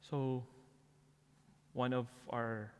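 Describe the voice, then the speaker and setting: A man's voice: mid-range voice, flat delivery, very slow, a little mellow, smooth. Filipino-accented man in an echoey hall. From Philippines. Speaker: guest, parish outreach coordinator. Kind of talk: conversational personal storytelling. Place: church, before the altar. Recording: long echoey reverb of a large hall.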